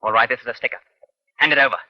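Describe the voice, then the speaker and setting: An actor playing a menacing, curt young gang thug in an old radio crime drama. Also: male voice, mid-range voice, rapid-fire, close to an everyday voice. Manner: curt, demanding. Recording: muffled old radio recording.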